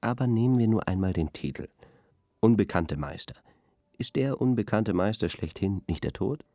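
Deep-voiced, pompous male critic. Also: expressive, normal speed, gravelly, mellow, dry recording, clean studio sound. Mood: measured, argumentative. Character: earnest intellectual male art critic on a culture programme. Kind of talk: radio drama played as studio discussion.

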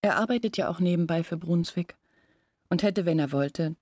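A woman playing a gentle, devoted young villager in a radio play, explaining things quietly. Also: on the low side, moderate pace, no room sound, studio quality, lightly acted. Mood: earnest, confiding. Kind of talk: literary radio play dialogue.

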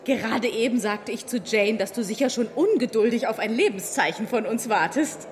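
A woman's voice: on the high side, lively intonation, moderate pace, close to an everyday voice. An actress playing a vain, self-important married society lady with an affected, pompous manner in a radio drama. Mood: smug. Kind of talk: German radio drama acting. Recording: long hall reverb.

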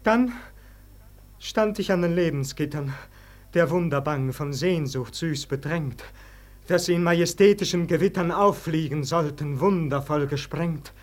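A man's voice: a little high, measured pace, lightly acted. An actor playing an anguished, brooding man facing Death, declaiming verse in a theatrical, plaintive way in a classic German radio drama. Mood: wistful, defiant.

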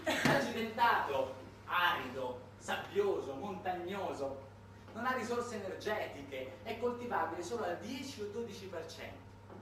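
A man delivering a satirical theatrical monologue in an emphatic, ironic, halting manner. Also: sits high, lively intonation, sharp tone. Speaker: stage monologuist. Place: roomy indoor performance space, far from mic. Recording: distant, echoey, noisy live theatre recording.

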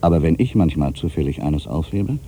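An actor playing an irritable, brooding ageing writer, delivering a theatrical literary monologue for a radio play, moving between exclamations and hushed asides. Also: male voice, very deep voice, expressive, fairly quick, slight background noise. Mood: argumentative. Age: older. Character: ageing writer, musing, irritable, suspicious, quietly superstitious.

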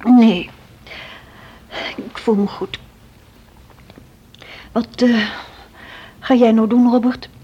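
An actress playing a shaken, weary, gentle woman in a radio drama, earnest and breathy. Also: a little high, steady tone, extremely slow, long pauses, highs cut off, slight background noise. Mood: concerned.

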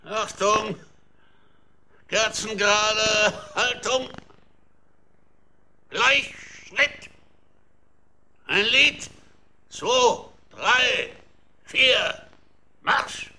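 A man's voice: high-pitched, drawn-out words, long pauses, bright, forceful tone, exaggerated voice. An actor playing a bossy, self-important king who barks military commands in a children's radio drama. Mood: commanding.

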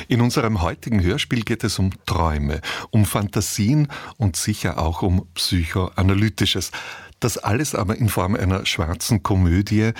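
A man's voice: low-pitched, no room sound, studio quality. A man reading a scripted introduction to a radio play as presenter. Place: radio studio.

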